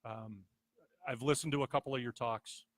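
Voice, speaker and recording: A man hosting a podcast, asking measured, thoughtful interview questions. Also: medium pitch, steady tone, no room sound.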